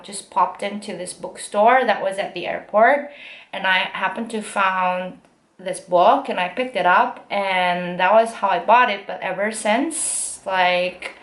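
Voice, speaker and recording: A young Korean woman speaks in a relaxed, unhurried way, her American-style English shaped by a Korean accent. She pauses often and fills the gaps with soft ums and likes. Her voice sits at an ordinary middle pitch and rings back from an echoey room. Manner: unhurried, many fillers and pauses. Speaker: aspiring actress. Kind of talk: casual storytelling.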